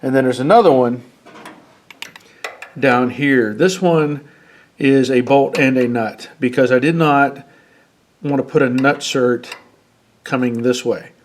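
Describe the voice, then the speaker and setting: A man's voice: medium pitch, slow pace, long pauses. A man in his fifties with a head cold, casually walking through his car build in his garage. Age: 50s. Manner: plain-spoken, relaxed, with fillers. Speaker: garage channel host. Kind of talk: casual workshop walkthrough. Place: home garage.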